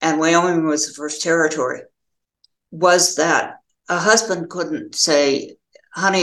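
An older American woman giving reflective interview answers as a novelist, in a halting, thoughtful way with pauses mid-phrase. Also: low voice, creaky, a bit bright, reverberant, rough recording.

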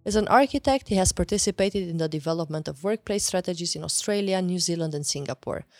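A woman's voice: on the low side, fast, darkish tone, no echo, soft background music. Woman podcast host, upbeat and courteous, dry studio sound. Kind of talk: scripted intro, then interview questions.

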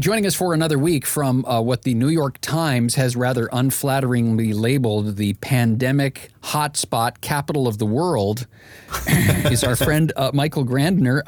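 A man with a polished, measured delivery, presenting as a podcast host and narrator. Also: medium pitch, dry recording. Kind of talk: audiobook-style narration and podcast hosting.

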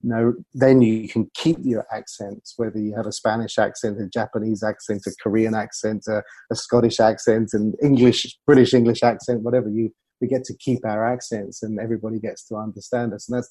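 A man's voice: on the low side, fairly even intonation, a little fast, mellow, breathy edge, dry recording. A man speaking as a communication coach in an interview, explaining things through anecdotes. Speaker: communication coach and former broadcaster.

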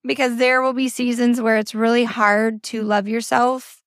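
A young woman hosting a faith-based motivational podcast, her voice hoarse and scratchy from a cold, speaking in an earnest, repetitive, encouraging way. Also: a little high, level tone, normal speed, dry recording, studio quality. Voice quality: raspy, scratchy. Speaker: podcast host. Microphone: informal recording setup.

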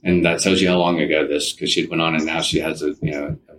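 A man's voice: very deep voice, flat delivery, fast, a bit bright. A man speaking as an interview guest, recounting experiences informally and restarting his sentences as he goes. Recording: background audio noise behind voice. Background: faint background audio.

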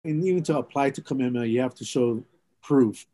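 A man's voice: medium pitch, fast talker, mellow, dry recording. A middle-aged man from Hawaii, telling his life story in an oral history interview, rambling and reflective with many fillers and restarts.

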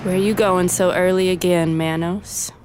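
An actress playing a wary, questioning partner of a hustler in a period radio drama. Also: female voice, lowish voice, steady tone, a little slow, darkish tone, soft background music, natural voice. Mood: suspicious.

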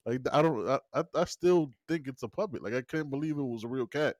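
A man's voice: mid-range voice, lively intonation, brisk, darkish tone, dry recording, clean studio sound. A man co-hosting a casual show review, telling stories animatedly and often asking "you know what I mean". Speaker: podcast co-host.

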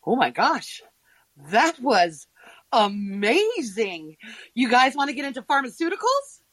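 A woman's voice: fairly high pitch, big pitch swings, measured pace, fairly bright tone, no echo, heavily acted. An actress playing a sarcastic, hungover young woman with a casual, exclamatory manner in a naturalistic audio drama. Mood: amazed.

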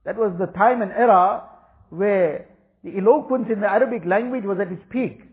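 A man's voice: sits high, moderate pace. A man whose first language is Urdu, giving an earnest religious talk and repeating phrases for emphasis. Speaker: religious teacher. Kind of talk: Islamic talk. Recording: tinny phone-like recording.

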